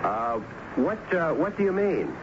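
An actor playing a courteous, patient police lieutenant in a 1970s radio mystery, speaking a bit haltingly. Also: male voice, fairly high pitch, big pitch swings. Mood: flustered, urgent. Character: polite, steady male police lieutenant, a detective. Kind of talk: 1970s radio drama acting. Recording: noisy, dull 1970s radio broadcast.

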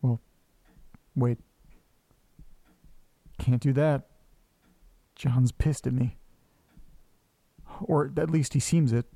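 An actor playing an anxious, lonely, self-doubting man who talks haltingly to himself in a horror audio drama. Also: mid-range voice, steady tone, vocal fry, warm tone, dry recording, heavily acted. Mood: brooding, dejected. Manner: halting, muttering, talking to himself. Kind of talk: audio drama monologue, naturalistic acting.

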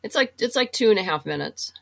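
A woman's voice: mid-range voice, expressive, fast, gravelly. A woman from the United States talking as a guest in a casual, conversational interview. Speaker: interview guest, writer and producer.

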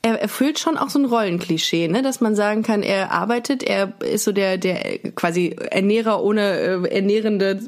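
A woman hosting a podcast in casual, joking chat, often halting and searching for words mid-sentence. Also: medium pitch. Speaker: podcast host.

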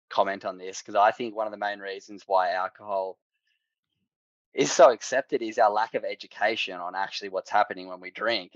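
A man's voice: fairly low pitch, dry recording, dull audio. A man hosting a podcast interview, asking friendly, enthusiastic, rambling questions. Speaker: podcast host.

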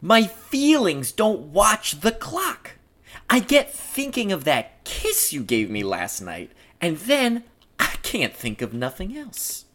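A man's voice: high voice, wildly swooping pitch, slow, a bit bright, pitched above the performer's own voice, heavily acted. A man voicing an amorous, streetwise 1910s New York policeman with slangy, ungrammatical speech in a play reading. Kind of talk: play table read.

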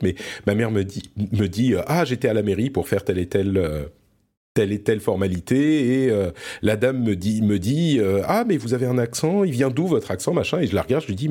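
A man's voice: fairly low pitch, mellow. A man from France hosting a podcast, chatting casually about pop culture and often searching for words as he restarts his sentences. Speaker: podcast host.

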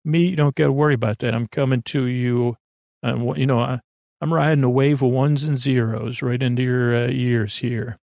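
A man with a creaky voice hosting a bedtime podcast in a gentle, meandering conversational way, reassuring and often interrupting himself with tangents. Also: mid-range voice, fairly even intonation, normal speed, no echo. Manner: meandering, hesitant, full of tangents and self-interruptions. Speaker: podcast host.

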